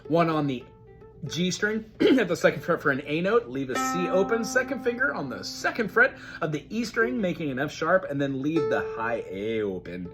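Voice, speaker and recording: A man's voice: on the high side, lively intonation, moderate pace, over loud music. A man teaching a casual ukulele lesson, talking through chords in a friendly, chatty way and singing along. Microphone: recorded alongside his own ukulele playing. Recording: ukulele loud under voice.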